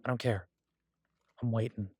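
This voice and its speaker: A man playing a devoted, easygoing husband who cooks, talking in a casual, halting, reassuring way in an intimate audio roleplay. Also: on the low side, fairly even intonation, dark tone, husky, no room sound, studio quality. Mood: caring. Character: devoted, easygoing husband and cook, gently teasing. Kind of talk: intimate one-sided audio roleplay, conversational.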